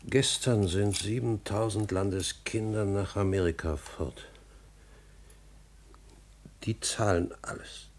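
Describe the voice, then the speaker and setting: A slow, husky old servant in a classical radio play. His deep voice is weary and restrained, full of grief and bitter irony. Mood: weary, formal. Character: old grieving manservant of a duke, bitterly ironic. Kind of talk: classical German stage drama acted for radio.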